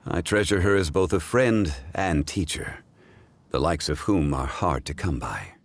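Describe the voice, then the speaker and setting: Deep, formal, contemplative ancient gentleman. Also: lively intonation, heavily acted. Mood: warm regard. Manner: formal, measured, eloquent, contemplative. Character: ancient, composed scholarly gentleman, calm, wise and reflective. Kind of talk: scripted video game cutscene dialogue.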